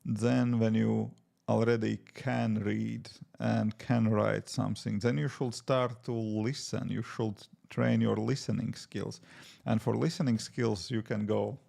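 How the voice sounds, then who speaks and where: A man's voice: lowish voice, level tone, unhurried, vocal fry, dark tone. A man from Latvia speaking English with a Latvian accent, chatting casually on a live coding stream and thinking aloud with many fillers. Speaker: app developer streaming live. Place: home desk.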